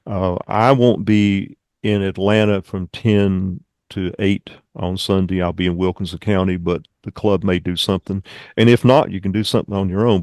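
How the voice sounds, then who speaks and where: A man's voice: sits low, vocal fry, darkish tone, no room sound, studio quality. A man giving an informal club presentation over a video call, with rambling, folksy asides.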